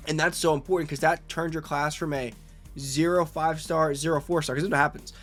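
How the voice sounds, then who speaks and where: A man with a congested voice, hosting a video game strategy walkthrough in an energetic, salesy way. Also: on the high side, a little fast, faint music behind. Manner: energetic, salesy, repeats "guys" and "right". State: congested from a cold. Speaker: gaming channel host.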